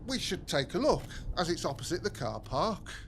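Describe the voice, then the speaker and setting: A man's voice: a little high, big pitch swings, normal speed, vocal fry, a little hiss or hum, exaggerated voice. An actor playing a friendly English family man in a naturalistic audio drama, plain and practical in his talk. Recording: loud background ambience.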